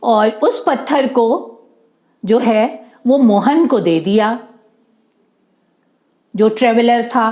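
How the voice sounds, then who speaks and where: A woman telling a children's folk tale, pausing to repeat and explain words simply. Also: fairly high pitch, a little mellow. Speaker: storyteller for children.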